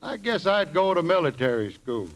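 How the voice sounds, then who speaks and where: A man's voice: a little high, big pitch swings, normal speed. An actor playing a folksy, plainspoken and unruffled ex-army handyman in a vintage radio comedy. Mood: unruffled, matter-of-fact. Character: folksy, easygoing ex-army mule driver and handyman. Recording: noisy vintage radio recording.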